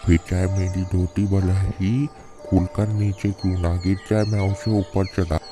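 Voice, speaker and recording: A man voicing a proud, grumpy, penny-pinching villager in an animated fable, blunt and boastful with rustic speech. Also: low voice, unhurried, music in the background. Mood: grumbling, boastful. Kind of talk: animated moral-story acting. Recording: clearly noisy background.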